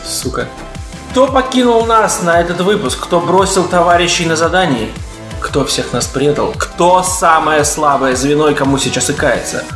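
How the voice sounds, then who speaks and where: Man, light latvian accent, ironic podcast host, fairly high voice, echoey room, faint music. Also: normal speed. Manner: ironic, joking, mock-pompous. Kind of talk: casual comedic podcast chat.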